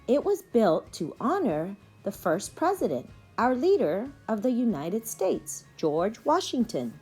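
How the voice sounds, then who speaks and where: Woman reading as patient aunt, slowly explaining. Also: fairly high pitch, sing-song, darkish tone, slightly rough, faint music behind, pitched above the performer's own voice, full character voice. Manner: explanatory, measured. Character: knowledgeable, patient adult aunt guiding her nieces.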